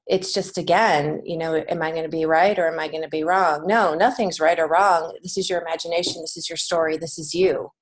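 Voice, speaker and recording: A middle-aged American woman speaking as an interview guest, telling stories conversationally in an earnest, emphatic way. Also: on the low side. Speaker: podcast guest, children's author and teacher.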